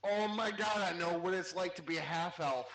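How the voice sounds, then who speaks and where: A man's voice: sits high, normal speed, a bit bright. A man chatting casually at a tabletop game, folksy and free with swearing. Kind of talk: casual chat. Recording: roomy, echoing, faint background noise.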